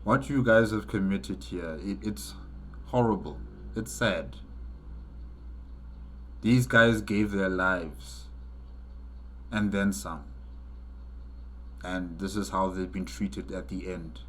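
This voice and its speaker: A man hosting a reaction video, commenting off the cuff in an earnest, halting way and repeating phrases for emphasis. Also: low voice.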